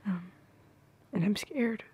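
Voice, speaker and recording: Breathy, slow, shy young woman acted by a woman. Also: medium pitch, lo-fi sound. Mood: nervous, tender. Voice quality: breathy, whispery. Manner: hesitant, halting, tender. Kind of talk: intimate ASMR roleplay, often whispered.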